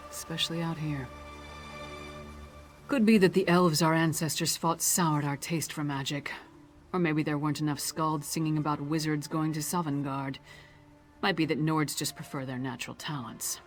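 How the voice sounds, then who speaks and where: A woman's voice: sits low, natural voice. An actress playing a blunt, hot-tempered but dutiful warrior woman in a video game, forthright and plain-spoken. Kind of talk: scripted video game dialogue.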